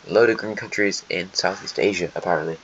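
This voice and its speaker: A man's voice: low-pitched, fast talker, a bit bright. A man with a stuffy, snotty voice giving rambling, sarcastic commentary over a map simulation. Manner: rambling, sarcastic asides to countries. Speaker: host narrating a map simulation. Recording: dull lo-fi mic, faint hiss.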